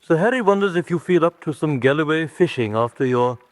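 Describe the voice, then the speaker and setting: An actor playing a dutiful gentleman's manservant, formal and deferential with a droll streak, in an old-fashioned radio drama. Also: on the high side, animated, fairly quick, no echo, close to an everyday voice. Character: dutiful, dryly gossipy British gentleman's manservant.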